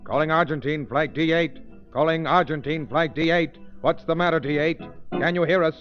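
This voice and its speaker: High-pitched, insistent actor's airline official. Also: male voice, normal speed, lightly acted. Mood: urgent, anxious. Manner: insistent, formal. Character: urgent, authoritative male airline radio man and superintendent. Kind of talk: 1930s radio acting. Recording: muffled old 1930s radio recording, music behind.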